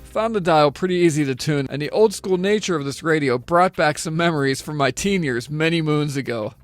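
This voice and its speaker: A man's voice: a little high, a little fast, no room sound, soft background music. A middle-aged American man narrating a scripted product review in a methodical, explanatory way. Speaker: gear reviewer.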